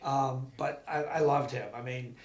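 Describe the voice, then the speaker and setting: A man in his sixties from Massachusetts, recalling his life in a halting, rambling oral-history interview at home. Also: medium pitch, steady tone, roomy sound. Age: 60s. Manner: rambling, halting with many ums and restarts. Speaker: veteran interviewee.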